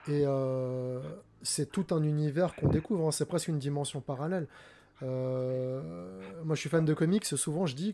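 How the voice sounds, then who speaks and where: A man's voice: mid-range voice, dark tone. A man in his forties hosting a casual live stream, talking with a headset mic at home, hesitant with many fillers and restarts. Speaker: streamer host. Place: home room indoors.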